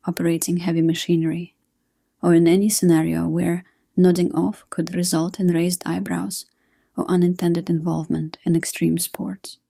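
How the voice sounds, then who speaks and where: A woman reading a scripted sleep hypnosis session in a gentle, hushed, soothing manner. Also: on the low side, vocal fry, husky, no room sound, clean studio sound. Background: soft new-age music.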